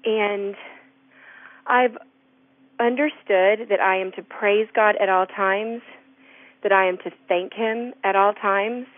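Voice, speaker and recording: A woman calling in to a radio show, asking a faith question in a slow, heartfelt way. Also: mid-range voice, fairly even intonation, dry recording. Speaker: caller. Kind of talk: phone-in question. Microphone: telephone. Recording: tinny phone line.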